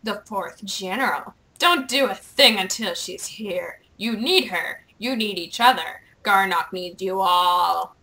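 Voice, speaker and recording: A woman voicing a villainous male dark sorcerer in a video game, addressing his followers in a grandiose, ominous, theatrical way. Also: mid-range voice, slow pace, hardly any pauses, pitched below the performer's own voice, poor audio.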